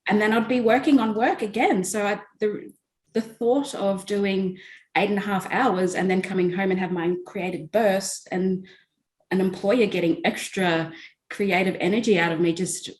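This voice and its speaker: A woman's voice: mid-range voice, fairly even intonation, moderate pace, echoey room. A woman guest on a video call, an entrepreneurship facilitator, talking steadily with frequent fillers. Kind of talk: guest telling her story in an interview.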